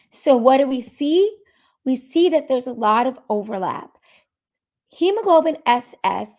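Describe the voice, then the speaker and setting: A woman with a cold and a hoarse voice, giving an explanatory medical talk at a seminar and repeating key points. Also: fairly high pitch, a little mellow. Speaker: medical speaker.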